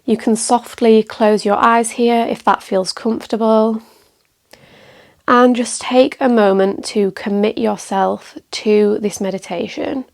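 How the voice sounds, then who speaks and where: Slow, creaky-voiced woman guiding meditation. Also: mid-range voice. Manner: calm, gentle, measured with pauses. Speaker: meditation teacher. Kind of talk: guided meditation, calm instruction.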